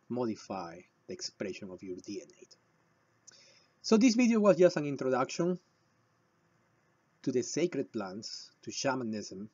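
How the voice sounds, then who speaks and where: A man from Peru with a Peruvian Spanish accent and a husky voice, explaining and telling stories to viewers in short, emphatic phrases with deliberate pauses. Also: medium pitch, mellow, no room sound, lo-fi sound. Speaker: shamanic healer presenting a video. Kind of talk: explanatory talk with personal storytelling.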